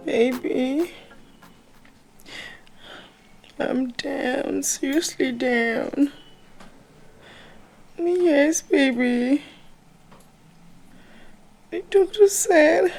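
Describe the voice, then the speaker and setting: A woman's voice: sits high, darkish tone, low-quality recording. A woman playing a wheedling young girlfriend with a Nigerian accent, coaxing with endearments on a call. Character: wheedling young girlfriend conning lovers for money. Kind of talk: acted comedy-drama phone call. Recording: clear background ambient noise.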